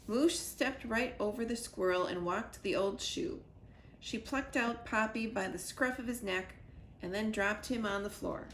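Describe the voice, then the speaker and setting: A woman librarian reading a children's chapter book aloud, lively, jumping up in pitch for the characters. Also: unhurried, echoey room, slight background noise, rough recording. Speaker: school librarian. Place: school library.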